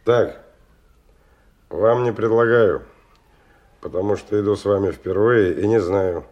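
An actor playing a hard-bitten, world-weary stalker who narrates a radio drama in a wry, colloquial, husky voice. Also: male voice, lowish voice, a little slow, darkish tone, lo-fi sound, natural voice. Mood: grim, deliberate. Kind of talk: 1990 Russian radio drama, first-person narration.

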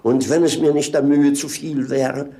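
An actor playing a tipsy, lazy rogue with clownish mock pomposity, in 1950s German radio drama style. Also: male voice, mid-range voice, steady tone, normal speed, dark tone, natural voice. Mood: boastful. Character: tipsy, lazy male rogue, clownish self-satisfied idler. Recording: 1950s radio play recording.

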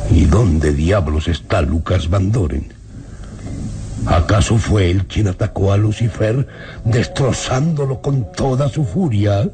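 An actor playing a gaunt, scheming villainous baron in a radio drama, brooding and menacing in a deliberate, theatrical way. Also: male voice, lowish voice, gravelly, noisy background. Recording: old radio drama with music behind.

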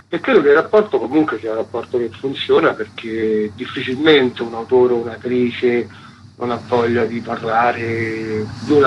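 A man's voice: mid-range voice, muffled recording, slight background noise. A man, a radio editor being interviewed, explaining at length in a conversational, self-correcting way.

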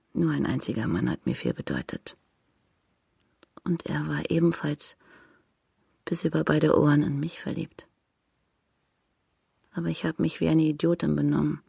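An actress playing a proud, blunt, scornful young courtesan in a radio drama, cynical and frank in manner. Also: female voice, low voice, gravelly, a little mellow, slightly rough, no room sound, clean studio sound, heavily acted. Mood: disdainful, bitter.

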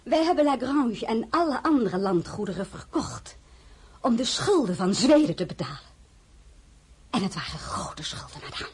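Husky breathy young princess, proud n outspoken, radio drama style. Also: female voice, a little high, big pitch swings, normal speed, exaggerated voice. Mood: defiant. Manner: outspoken, dignified. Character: proud, defiant young French-born crown princess. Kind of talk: dramatised radio play acting.

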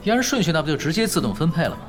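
A man's voice: fairly high pitch, sing-song, music in the background. A man playing a laid-back, shrewd young pro gamer in an audio drama, talking casually and wryly. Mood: calm scheming. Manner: casual, unhurried, wry.

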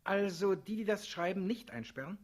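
An actor playing a grim, obedient prison warder in a 1960s German radio play, deadpan and terse. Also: male voice, high-pitched, normal speed. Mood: dutiful, cautious.

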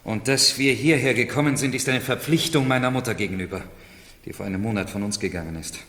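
A man's voice: mid-range voice, fast, a bit bright, lightly acted. An actor playing a dutiful, serious young nobleman in a German radio play, courteous and used to giving orders. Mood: grave. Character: earnest, commanding young marchese, anxious and dutiful. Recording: echoey hall with long reverb.

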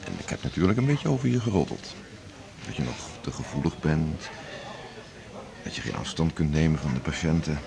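Picture a weary, workaholic middle-aged hospital chief, wry and self-mocking as he confides over dinner. His voice sits very low, with a gravelly rasp. The delivery is measured, in 1980s radio-play style. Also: very animated, crowd noise, full character voice. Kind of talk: 1980s radio drama acting.

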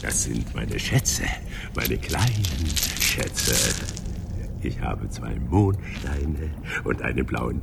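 Gravelly, swooping giant monster. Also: male voice, a bass voice, noisy background, heavily acted. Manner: theatrical, swinging from whispered whining to shrieking outbursts. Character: huge ugly cunning giant monster, self-pitying and cruel. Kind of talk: radio play acting.

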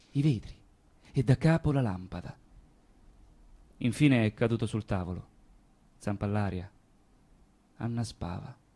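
A man's voice: fairly low pitch, mellow, lightly acted. An actor playing a brooding, pensive man talking with his close friend in a radio play, reflective and lyrical. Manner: reflective, lyrical, measured storytelling. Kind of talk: theatrical radio play acting.

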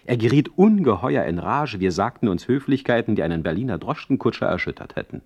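An actor playing a witty, sardonic, ailing middle-aged poet in a radio play, speaking with eloquent, mocking irony. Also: male voice, fairly low pitch, very animated, a little fast, a little mellow, husky, exaggerated voice. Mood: wry, resigned. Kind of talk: radio play acting with letter narration.

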